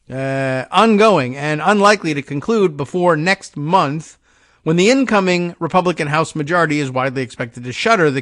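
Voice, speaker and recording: A man with a slightly high, sounding voice reads news copy and keeps stopping to add wry, offhand remarks. The sound is close and dry, with no room around it. He moves between a reading tone and loose, musing commentary. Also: fairly bright tone, no echo. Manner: sardonic asides between read passages. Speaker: political podcast host.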